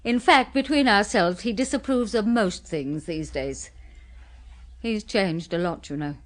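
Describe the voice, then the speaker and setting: An actress playing an unhappy, resentful wife in a 1970s radio drama, nervy and long-suffering. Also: female voice, medium pitch, unhurried, natural voice. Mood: anxious, confiding. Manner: nervy, apologetic, flaring into exasperation.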